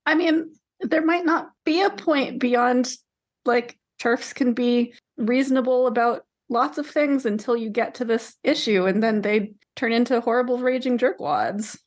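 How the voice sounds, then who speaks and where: Measured woman chatting as co-host. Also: a little high. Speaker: podcast co-host. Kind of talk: casual book discussion.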